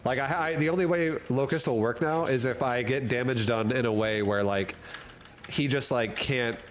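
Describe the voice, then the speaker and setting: A man's voice: mid-range voice, normal speed, slight background noise. Jokey rambling male game streamer. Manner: rambling and jokey, reads chat aloud and laughs often. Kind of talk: casual live-stream chat while gaming. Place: hot room at home.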